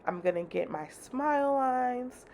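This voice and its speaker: A young woman chatting casually through a makeup tutorial, sounding congested and slightly raspy. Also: high voice, animated, measured pace, a little mellow, a little hiss or hum. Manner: chatty, upbeat, slangy. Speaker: beauty vlogger.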